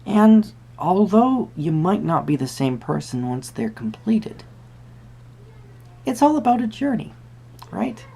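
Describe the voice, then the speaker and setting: A performer playing a whimsical magical tarot reader, musing hesitantly in a soft-spoken roleplay with a creaky voice. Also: medium pitch, big pitch swings, heavily acted. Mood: musing, gentle. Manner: hesitant, musing, pausing with hums.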